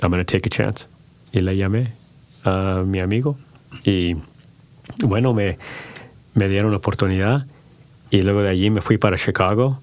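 A middle-aged man, a podcast guest who talks in halting bursts with many restarts and slips into English. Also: sits low. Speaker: podcast guest, company founder. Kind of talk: casual bilingual interview.

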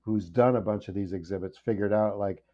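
A man's voice: on the low side, fairly even intonation, a little fast, dark tone, highs cut off. A man chatting casually and playfully as a podcast guest, with a joking manner. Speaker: podcast guest, children's book author. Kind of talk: casual chat.

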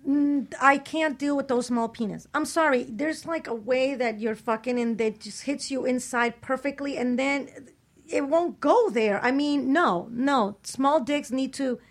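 A woman's voice: high-pitched, a little slow. A woman co-hosting a radio talk show, chatting casually and explicitly in a blunt, opinionated, sweary way.

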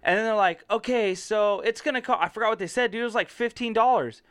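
Casual podcast co-host, male, high and clear voice, swears often, acts out conversations. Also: fast talker. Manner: animated storyteller, swears freely, acts out both sides of a dialogue. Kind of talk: casual chat.

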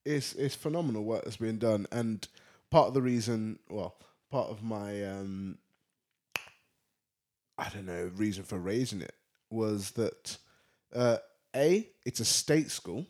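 A man co-hosting a podcast, talking in an animated, slangy way with frequent restarts. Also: lowish voice, unhurried, pauses often. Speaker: podcast co-host. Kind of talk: casual podcast chat.

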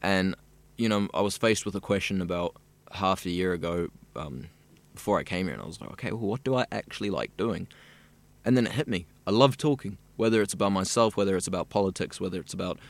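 A man's voice: low-pitched, quick pace, vocal fry. A young man from South Africa, a radio student chatting easily and at length in an interview. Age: young adult. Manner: chatty, rambling and easygoing. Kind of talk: casual interview chat.

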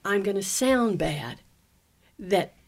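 A woman singer speaks in an earnest, reflective way. Her voice sits in a middle pitch range, and her talk stays conversational. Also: lively intonation, slow pace, crowd noise. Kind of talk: casual chat.

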